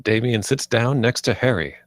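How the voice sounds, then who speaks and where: A man's voice: mid-range voice, expressive, moderate pace, dry recording, studio quality. A man reading scripted stage directions as the narrator of a live screenplay table read.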